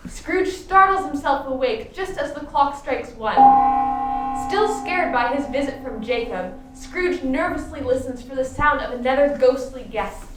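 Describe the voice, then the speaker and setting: A woman's voice: high voice, expressive, a little slow. A woman narrating a scripted radio play as the storyteller of a ghostly tale. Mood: suspenseful storytelling. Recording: echoey, distant stage recording, faint noise.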